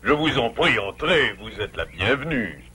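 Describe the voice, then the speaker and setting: A man's voice: mid-range voice, sing-song, unhurried, very noisy. A man voicing a self-assured, learned adult beaver in a French cartoon, articulate, didactic and reassuring. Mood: calm, welcoming. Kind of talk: French animated series dubbing.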